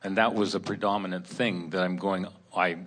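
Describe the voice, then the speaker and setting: A man in the audience asking a question, halting and searching for his words. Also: low-pitched, fairly even intonation, fairly bright tone. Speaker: seminar participant asking a question.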